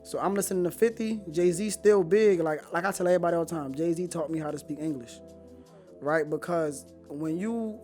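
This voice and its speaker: A man, a Creole speaker from Haiti. He talks as a podcast guest in animated, profanity-laced slang, telling personal stories casually. Faint music sits behind him. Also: high-pitched. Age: 20s.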